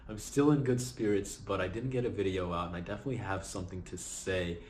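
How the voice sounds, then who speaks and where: A man hosting his own vlog, talking casually and reflectively about gratitude, low on energy and slightly raspy after a long night. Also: fast talker, slight background noise. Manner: relaxed, reflective, keeps adding "right?". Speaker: vlog host. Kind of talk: casual motivational vlog talk.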